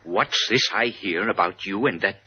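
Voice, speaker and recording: An actor playing a blustering, lovesick, wealthy colonel in a melodramatic, sputtering 1950s radio style. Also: male voice, fairly low pitch, moderate pace, lightly acted. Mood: indignant, threatening. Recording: dull, hissy 1950s radio recording.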